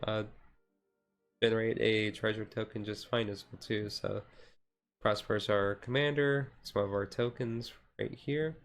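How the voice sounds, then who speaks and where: A man giving a casual, relaxed walkthrough in an ASMR-style stream, talking haltingly with frequent pauses mid-sentence. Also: on the low side. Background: background music.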